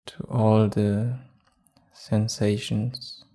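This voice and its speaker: A man guiding a meditation calmly and without hurry, leaving long pauses between short phrases. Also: lowish voice. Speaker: meditation guide. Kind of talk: guided meditation.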